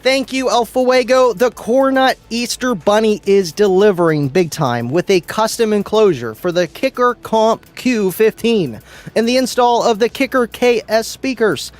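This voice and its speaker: Upbeat guy w a high voice reading out viewer comments on his stream, laughs a lot, stumbles on names. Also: measured pace. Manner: excited, chatty, laughs often, stumbles over names. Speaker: livestream host. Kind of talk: casual livestream chat reading viewer comments. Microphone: studio mic.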